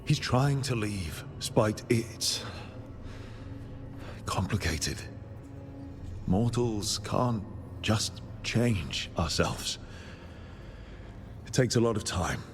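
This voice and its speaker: An actor playing a steadfast, reassuring heroic companion and leader in a video game, earnest and persuasive. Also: male voice, medium pitch. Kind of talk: dramatic video game acting. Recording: music behind the voice.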